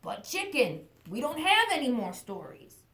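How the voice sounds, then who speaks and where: A woman voicing a patient, weary father chicken who gently chides his child in a bedtime storybook. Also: high-pitched, big pitch swings, a little slow, roomy sound, full character voice. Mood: weary, coaxing.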